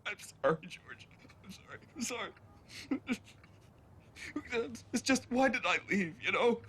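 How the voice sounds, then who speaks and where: A homesick, needy woman with a sharp, bright voice. Her pitch swings widely as she pleads and apologizes over and over, in emotional film acting. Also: lively intonation, a little slow, husky, heavily acted. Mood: pleading, homesick. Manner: pleading, repetitive.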